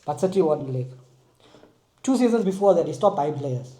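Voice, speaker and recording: High-voiced man arguing football, echoey room. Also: fairly quick. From Manchester United supporter. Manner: animated, talks over others, trails off and restarts. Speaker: podcast co-host. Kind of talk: casual football debate.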